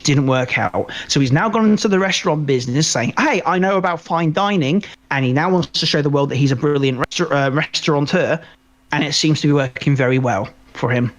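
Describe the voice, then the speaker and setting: A man co-hosting a podcast in casual film review chat, rambling and halting as he repeats words mid-sentence. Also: on the high side. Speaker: podcast co-host.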